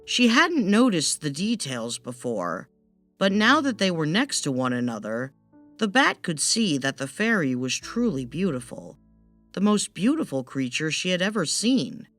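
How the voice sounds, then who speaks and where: A woman's voice: low voice, very animated, measured pace, a bit bright, soft background music. A woman narrating a scripted fairytale as a storyteller.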